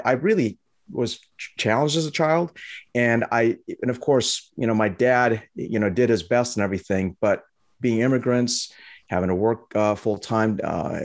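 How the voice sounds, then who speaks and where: A man's voice: lowish voice, darkish tone, dry recording, clean studio sound. A middle-aged man from Lebanon, a guest talking casually in an interview, with many restarts and fillers. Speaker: guest, trading educator.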